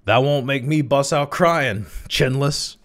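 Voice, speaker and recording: A man's voice: low voice, sing-song, moderate pace, pitched above the performer's own voice. Man playing a bullying, slangy tough guy. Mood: loud, derisive. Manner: stern, derisive, slangy. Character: bullying, sarcastic, tough cafeteria watchman with streetwise slang. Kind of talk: dramatized story reading.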